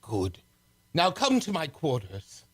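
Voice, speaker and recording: A high-pitched, imperious prince of another planet, acted by a man in a radio serial. He gives orders in formal, theatrical phrases, stern and grand. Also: wildly swooping pitch, slow, breathy edge, full character voice. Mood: grand, earnest. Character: imperious alien prince, stern rebel leader with soldiers. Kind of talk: old-time radio serial acting.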